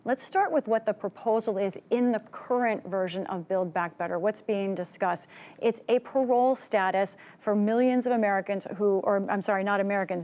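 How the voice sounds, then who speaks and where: A middle-aged woman news correspondent, giving a brisk explanatory breakdown of policy and correcting herself on the fly. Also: mid-range voice, a little mellow.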